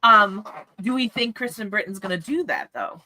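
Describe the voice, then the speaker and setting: Bright-voiced woman hosting a book chat. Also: medium pitch, very animated, normal speed, slight background noise, poor audio. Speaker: livestream book discussion host.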